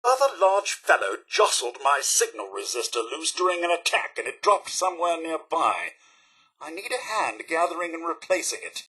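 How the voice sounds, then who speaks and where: A chipper British robot butler from a video game. The voice sits fairly high for a male and swoops widely, with a sharp, raspy edge. The delivery is prim, formal and theatrical, fussy yet unfailingly polite. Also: very animated, moderate pace, full character voice, low-quality recording. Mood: put-upon, polite. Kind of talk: video game character acting.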